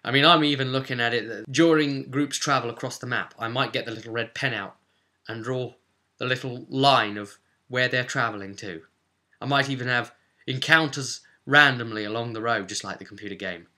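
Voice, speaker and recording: A man's voice: medium pitch, moderate pace, bright, forceful tone. A man giving an informal, conversational tutorial in a chatty manner, as if explaining game rules to a newcomer. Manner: chatty, checks in with "okay?" and "right?". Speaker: presenter of a tabletop roleplay primer.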